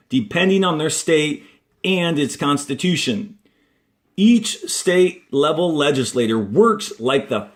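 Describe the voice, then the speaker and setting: A male elementary school teacher with an American accent reads a textbook aloud in a clear, deliberate instructional way, adding brief asides. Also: a little high.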